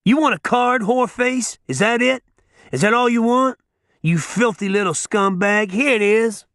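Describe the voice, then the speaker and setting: A man voicing a loud, bullying male judge who barks and yells profane outbursts. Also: high voice, big pitch swings, moderate pace, creaky, no echo, studio quality, voice pushed up, heavily acted. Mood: raging. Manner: barking, yelling, profane outbursts.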